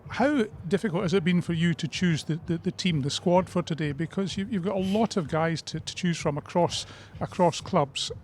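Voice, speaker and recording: Higher-voiced man interviewing, noisy background. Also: moderate pace, hardly any pauses. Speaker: sports radio interviewer. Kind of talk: pre-match interview.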